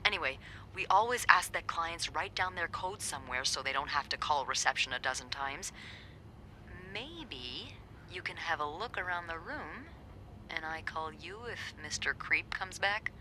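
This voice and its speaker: Beth, wry teasing receptionist, lilt, low bright voice, faint hiss. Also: female voice, expressive, normal speed, rough recording. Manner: dry, teasing, knowing. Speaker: hotel receptionist. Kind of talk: video game voice acting.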